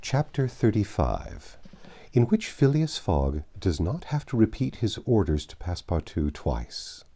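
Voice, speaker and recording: A man narrating a classic novel in an expressive, measured style with dramatic pauses. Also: low voice. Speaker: audiobook narrator. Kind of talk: expressive literary storybook narration.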